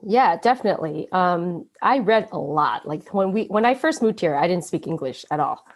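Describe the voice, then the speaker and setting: A woman's voice: lowish voice, animated, moderate pace. A woman with an American accent talking casually as an interview guest, peppering her informal speech with filler phrases. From born in Taiwan, grew up in the United States from age seven. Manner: informal, frequent "like" and "you know".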